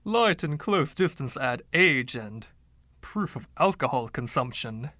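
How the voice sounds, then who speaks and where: A man's voice: medium pitch, animated, measured pace, bright, forceful tone, dry recording. A man voicing the haughty, indignant ghost of a rich 1920s dandy, pompous and fussy, with old-fashioned slang, in a story reading.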